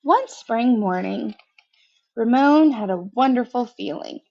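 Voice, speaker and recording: A woman reading a picture book aloud to children in an expressive, conversational way, pausing for casual asides of her own. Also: on the high side, creaky, lo-fi sound. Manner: expressive, conversational, pauses to comment.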